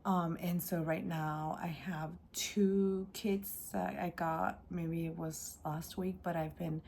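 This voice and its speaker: Vlog host, female, lower-pitched, unhurried delivery, raspy from a cold, slight background hiss. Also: steady tone. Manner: soft, hesitant, many ums. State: sore throat, raspy and congested. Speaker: craft channel host. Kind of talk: casual unboxing vlog.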